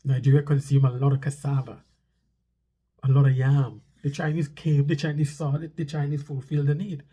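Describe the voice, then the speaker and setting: A man's voice: medium pitch, little pitch movement, fairly quick, dark tone, husky. A man with a stuffy nose talks casually to viewers as a vlog host, explaining things conversationally and repeating phrases for emphasis.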